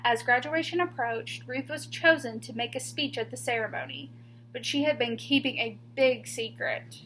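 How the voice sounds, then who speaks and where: A woman teacher reading a children's picture book aloud expressively, with deliberate, emphatic pauses on key words. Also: high voice, a bit bright, slight background noise, low-quality recording.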